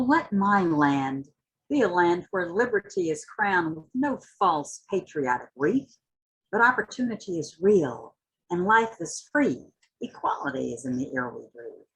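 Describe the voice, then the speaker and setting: A woman reads literature aloud in a husky voice that moves widely in pitch. Her pace is slow and deliberate, with stretched, emphatic words. The delivery is impassioned and dramatic, suited to poetry recitation. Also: rough recording. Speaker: reader at a community literary read-in.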